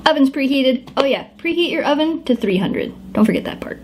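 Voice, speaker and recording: A woman's voice: sits high, lively intonation, fairly quick, darkish tone, a little hiss or hum. A woman hosting a casual home cooking how-to in her kitchen, chatting to her dogs as she works. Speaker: home cook demonstrating a recipe.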